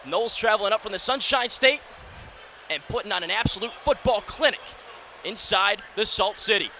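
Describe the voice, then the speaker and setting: Bright, high-pitched young male football announcer. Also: moderate pace. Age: early 20s. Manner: energetic, clipped narration. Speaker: play-by-play announcer. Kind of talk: live radio American football play-by-play commentary. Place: sports broadcast position inside a domed stadium. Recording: steady stadium noise behind. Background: stadium ambience.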